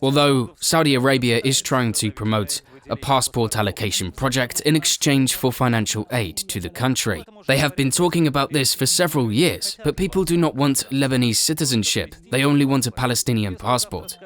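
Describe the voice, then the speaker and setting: A man reads a documentary voice-over at a mid pitch. He translates and explains in an even, explanatory way. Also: brisk, hardly any pauses.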